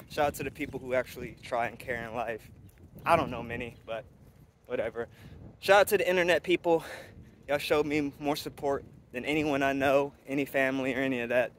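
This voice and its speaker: A man's voice: medium pitch, slight background noise. A young man walking and talking outdoors, boastful and blunt as he motivates his viewers, recorded on an earphone mic with the camera at arm's length. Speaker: vlogger. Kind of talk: casual walk-and-talk vlog. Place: outdoors on a sunny street by a college campus. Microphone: earphone mic, camera held at arm's length.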